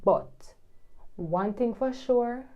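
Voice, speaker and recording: Young woman, vlog narrator, wry and chatty, mellow tone. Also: on the high side, lively intonation, very slow, highs cut off. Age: young adult. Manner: wry, chatty, with patois asides. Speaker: vlogger, international student.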